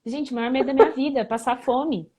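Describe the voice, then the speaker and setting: A woman's voice: high voice, a crowd in the background. Chatty woman guest telling stories. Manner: lively, fast anecdotes with laughs. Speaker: guest, former exchange student. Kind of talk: casual storytelling interview.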